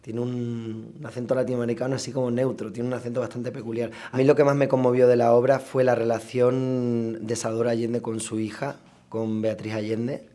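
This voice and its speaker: Mellow, even-toned male actor in interview. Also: medium pitch, a little fast. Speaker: stage actor. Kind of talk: reflective interview talk about stage work.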